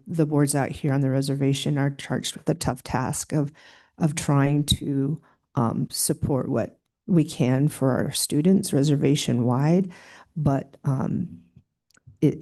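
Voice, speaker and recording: Brief: soft-spoken superintendent giving hearing testimony, gravelly and dark-toned. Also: mid-range voice, fairly even intonation, unhurried. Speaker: school superintendent.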